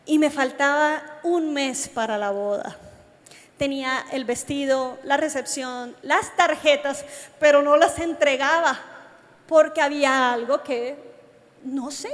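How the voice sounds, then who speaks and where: High-voiced Colombian woman preaching in reverberant hall. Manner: animated storytelling, addresses listeners directly. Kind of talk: youth sermon with personal testimony. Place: large reverberant meeting hall. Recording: long hall reverb from a sound system.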